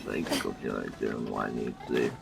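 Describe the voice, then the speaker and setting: A man's voice: rumbling low, fairly even intonation, a little fast, vocal fry, loud music behind the voice. A man giving casual commentary while streaming a video game.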